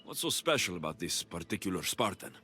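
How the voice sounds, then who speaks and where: An actor playing a cocky, curt, battle-hardened Greek mercenary in a video game. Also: male voice, low voice, very animated, fairly quick, heavily acted. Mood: curt, sardonic. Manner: curt, sardonic, confident. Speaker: video game lead character. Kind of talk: acted game dialogue. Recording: loud music under the voice.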